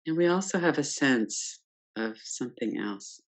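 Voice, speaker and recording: A woman teaching guided meditation, speaking calmly and gently in short phrases with pauses between them. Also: very deep voice, rough recording. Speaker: yoga nidra meditation teacher. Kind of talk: guided meditation, unscripted instruction.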